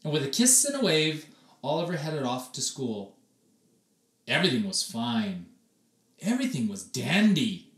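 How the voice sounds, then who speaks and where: Animated man reading a storybook, echoey room. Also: medium pitch, recorded from a distance. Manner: dramatic, deliberate pauses and emphasis. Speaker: storybook reader. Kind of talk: expressive children's read-aloud narration. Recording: echoey room, away from the mic.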